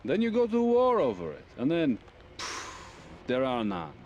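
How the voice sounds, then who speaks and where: An actor playing a sardonic, indignant Russian police captain in a video game cutscene, with a sarcastic, theatrical manner and a Russian accent. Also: male voice, mid-range voice, wildly swooping pitch, normal speed, a little mellow, slight background noise. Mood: mocking denial.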